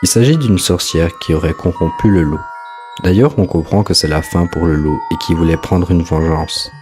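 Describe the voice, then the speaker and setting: A man's voice: low voice, moderate pace. A man hosting and narrating a scripted explainer of a horror story. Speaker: host, narrator. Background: music.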